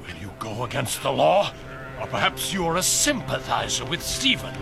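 A man's voice: mid-range voice, big pitch swings, moderate pace, creaky. A man playing a harsh, imperious leader of a mob in a dramatized radio play, taunting and theatrical. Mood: menacing. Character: harsh, commanding male mob leader enforcing the law. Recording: loud music and crowd behind.

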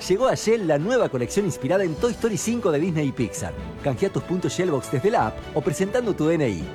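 Lively high-voiced Argentine male announcer. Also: fast talker, over music. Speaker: advert announcer. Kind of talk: scripted promotional narration.

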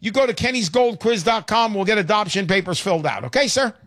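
Energetic high-voiced older radio host. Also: male voice, quick pace, no echo, clean studio sound. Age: 60s. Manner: energetic, salesy, emphatic. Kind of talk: call-in radio talk. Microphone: studio microphone.

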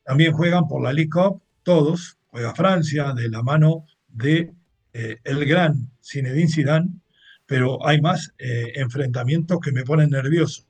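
A man's voice: a little high, measured pace, darkish tone, breathy edge. A man with a Mexican accent hosting a football show, chatting through upcoming matches and giving blunt opinions in a casual, talky way. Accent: Mexican Spanish. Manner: chatty, opinionated, translating clips. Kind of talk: casual sports commentary monologue.

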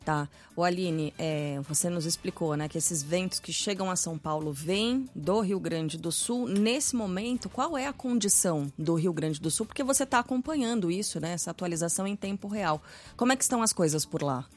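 A woman, a radio news anchor questioning a colleague in a lively, curious way. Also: lowish voice, normal speed, hardly any pauses, soft background music. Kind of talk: on-air interviewing.

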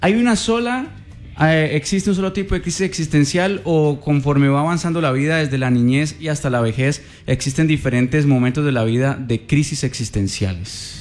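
A man's voice: on the high side, moderate pace, faint music behind. A man hosting a morning radio show, talking in an upbeat, conversational way and asking questions. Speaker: radio host.

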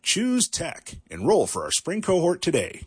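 A man's voice: a little high, wildly swooping pitch, a little slow, faint music behind. A man announcing a scripted radio promo as an advert voice-over.

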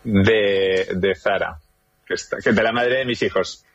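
A man's voice: lowish voice, unhurried, dry recording. A man calling in to a radio show, chatting casually and hesitantly, often repeating words. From Spain and Colombia. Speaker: caller. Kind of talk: casual phone-in chat. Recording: muffled lo-fi call line.

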